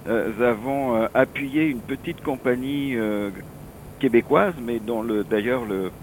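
A middle-aged or older man with an accent from France, giving halting, digressive explanations as a telephone interview guest. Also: medium pitch, a little mellow. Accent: French from France. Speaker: association president, interview guest. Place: office, on the phone. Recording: noisy telephone line.

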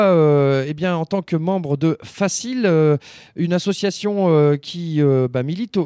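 A man hosting a radio interview in as his language, phrasing his questions in a hesitant, halting way. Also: a little high, dark tone, no room sound, clean studio sound. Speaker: radio host interviewing a phone guest.

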